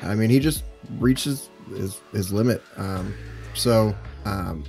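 A man's voice: fairly low pitch, slow, gravelly, a little mellow. A man playing a harried suburban father of young children in an improvised role-play. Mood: weary, apologetic. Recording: music bed under the voice.

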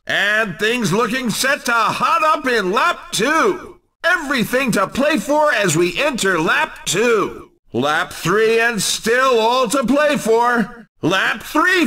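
High-pitched, exuberant game race announcer. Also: male voice, lively intonation, slow, sharp tone, recorded from a distance, full character voice. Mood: hyped. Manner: showy, punchy, playful announcer delivery. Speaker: race commentator in a video game. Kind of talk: scripted excited race commentary.

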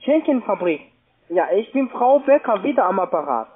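A woman's voice: medium pitch, very animated, normal speed, husky. Non-native lady chatting on the phone, voice swings a lot, tinny line. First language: not German. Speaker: call centre caller. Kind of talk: casual phone chat.

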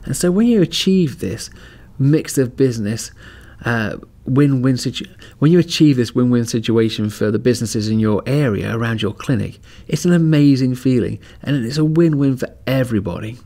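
A man's voice: mid-range voice, normal speed, hardly any pauses, warm tone. A man hosting a podcast, chatting casually as he walks through a home security camera demonstration and gives advice. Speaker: podcast host. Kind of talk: casual product demo and advice talk. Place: outdoors at home driveway, then indoors.

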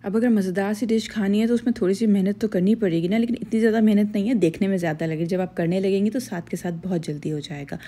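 Mellow-voiced woman narrating a cooking tutorial. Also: medium pitch, steady tone, fast talker, few pauses. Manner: chatty, friendly, addresses viewers directly with playful asides. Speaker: cooking channel host.

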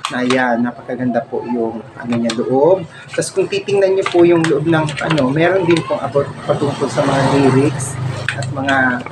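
A middle-aged Filipino man giving an informal show-and-tell to camera, speaking politely and haltingly in a small home room. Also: medium pitch. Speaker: vlogger showing a personal collection. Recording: very noisy home phone recording.

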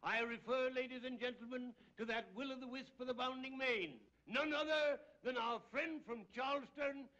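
A pompous older orator, high-pitched and declamatory with florid phrasing. Dull old film sound with crowd ambience. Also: male voice, fairly even intonation, moderate pace, few pauses, pushed and bright. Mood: grandiloquent.

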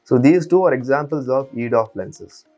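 A man in his thirties, an eye surgeon, calmly and methodically explaining to patients from a small clinic office on a lapel mic. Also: medium pitch, normal speed, muffled recording, faint music behind. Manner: calm, methodical, steady explainer. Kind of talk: explanatory patient education talk.

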